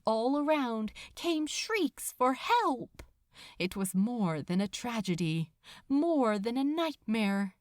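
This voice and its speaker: A woman reading a story aloud as dramatic scripted narration, solemn, deliberate and suspenseful. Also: a little high, sing-song, dry recording, studio quality. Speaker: podfic narrator.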